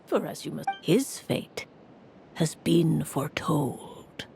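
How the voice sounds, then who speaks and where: A woman playing a grave, sorrowful seer witch in a storybook game scene, stern and foreboding, with a creaky voice. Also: sits low, takes it slowly, a little mellow, soft background music, exaggerated voice. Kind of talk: storybook video game acting.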